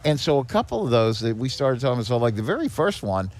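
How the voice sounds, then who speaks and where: A man's voice: mid-range voice, big pitch swings, fast talker, a little mellow. A man speaking as a podcast guest, explaining things in a conversational way with rhetorical questions and frequent check-ins. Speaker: guest, executive director of a seniors nonprofit.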